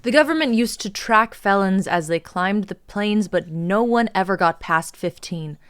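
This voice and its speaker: A woman's voice: mid-range voice, animated, moderate pace, a bit bright, clear, resonant tone, no echo, studio quality, natural voice. A woman reading the part of a tough, threatening female ship captain in a live dramatic reading, commanding and mocking.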